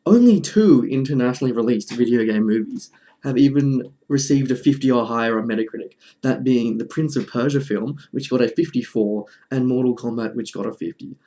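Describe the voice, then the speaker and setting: A man's voice: medium pitch, a little fast, mellow. Dryly joking man hosting a video essay. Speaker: video host. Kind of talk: casual video essay commentary.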